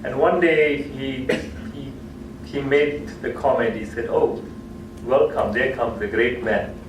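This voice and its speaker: A man's voice: medium pitch, fairly even intonation, slow pace, muffled recording. A man whose first language is Gujarati tells an anecdote at a cultural talk, repeating and restarting phrases as he goes. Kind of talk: storytelling lecture. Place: hall. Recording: echoey hall, distant mic, noisy.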